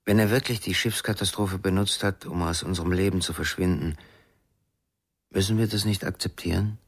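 An actor playing a reflective, detached man in a radio crime drama, thoughtful and deliberate. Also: sits low, steady tone, slightly rough. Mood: detached, pensive.

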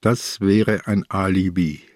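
An actor playing a shrewd, stubborn old bedridden police inspector in a radio crime drama, husky, terse and dry. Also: male voice, sits low, steady tone, slow, darkish tone, no room sound. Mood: guarded.

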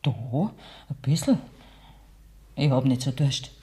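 A woman's voice: rumbling low, expressive, takes it slowly, warm tone, husky, heavily acted. An actress playing a stoic, middle-aged peasant mother in a German radio play, earthy and dry, with a Bavarian accent. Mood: subdued, stoic.

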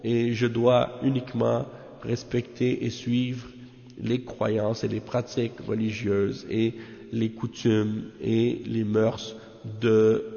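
A man's voice: medium pitch, vocal fry, warm tone. A man teaches religion without a script. He explains didactically, restating phrases to drive each point home. The sound is muffled, with a long reverb around the voice. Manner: didactic, repeats phrases for emphasis, rhetorical check-ins. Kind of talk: extemporaneous religious lesson explaining a commentary.

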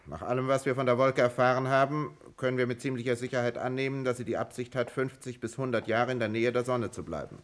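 A man of middling pitch played by an actor as a blunt official among scientists. He speaks with formal, emphatic diction in the style of a 1950s German radio play. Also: quick pace, hardly any pauses, close to an everyday voice. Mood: resigned, reasoning.

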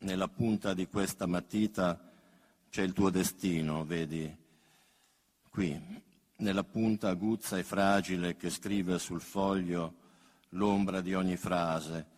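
A man reciting poetry in a solemn, grave, measured and intimate way. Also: low-pitched. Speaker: poet reading own work. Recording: long hall reverb.

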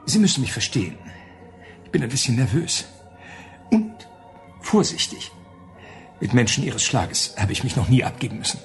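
An actor playing a nervous, secretive male psychic client in a radio play, hesitant, hushing and fussily polite. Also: mid-range voice, very animated, moderate pace, long pauses, soft background music, lightly acted. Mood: anxious pleading. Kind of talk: German radio play acting.

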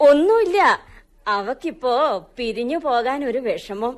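A woman's voice: on the high side, big pitch swings, unhurried, a little mellow. A woman with a Malayali accent acting a fretful, loving middle-aged mother who admonishes her daughter in a radio drama. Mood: firm, fussing. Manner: admonishing, anxious.

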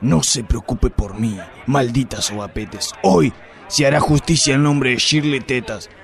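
A man's voice: mid-range voice, unhurried, vocal fry. A man playing a crude blustering cop. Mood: melodramatic. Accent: Rioplatense Spanish. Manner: blustering, melodramatic, vulgar outbursts. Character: crude boastful corrupt male police inspector. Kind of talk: amateur radio drama acting.

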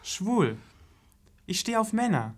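A young man playing a calm, unfazed teenage son in a radio play, speaking in a laconic, matter-of-fact way. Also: high-pitched, slow, heavily acted.

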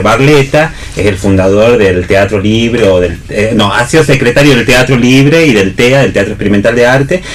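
A man with an Argentine Spanish accent explaining things off the cuff as a theatre actor on radio, discursive and often checking he is followed. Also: medium pitch. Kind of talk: unscripted explanatory talk.

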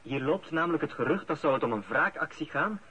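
An actor with a Flemish accent playing a dry, wry police detective in a radio drama, his voice husky. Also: on the high side, lively intonation, quick pace, bright, forceful tone, heavily acted. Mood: businesslike. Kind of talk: naturalistic radio drama acting.

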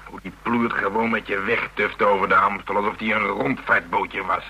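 An actor playing a gruff, sardonic policeman in a radio drama, talking colloquially. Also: on the low side, fairly even intonation, normal speed, pushed and bright. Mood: shaken, grumbling. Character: gruff, grudging Amsterdam policeman nicknamed the Dragon, shaken, sardonic. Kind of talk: Dutch radio drama dialogue. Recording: hummy, noisy old radio recording.

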